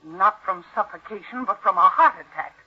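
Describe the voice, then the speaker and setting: A woman's voice: medium pitch, expressive, moderate pace, husky. A woman playing a cold, scornful niece scheming to murder for an inheritance, in commanding, theatrical 1940s radio suspense style. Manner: commanding, contemptuous, coolly theatrical. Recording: muffled vintage radio recording.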